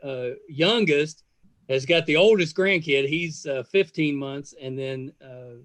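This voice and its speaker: A middle-aged man with a slightly high voice hosts a relaxed interview. He is chatty and folksy, and the recording is close and dry with very little room sound. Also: unhurried, no room sound. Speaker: podcast host. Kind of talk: casual interview chat.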